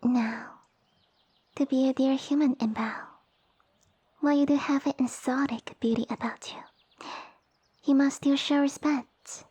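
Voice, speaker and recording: A woman voicing a small, haughty young snake-girl noble in an ASMR roleplay, speaking softly with pompous, formal condescension. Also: high-pitched, fairly even intonation, measured pace, pauses often, no echo. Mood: proud, condescending. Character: small haughty young lamia noblewoman, sassy and easily offended. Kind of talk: ASMR audio roleplay acting. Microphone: close dry microphone.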